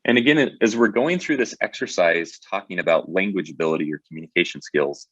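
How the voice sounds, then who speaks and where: A man's voice: low-pitched, a little fast, no echo, muffled recording. A man presenting a webinar in a conversational way, often restarting his sentences. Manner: conversational, with many fillers and restarts.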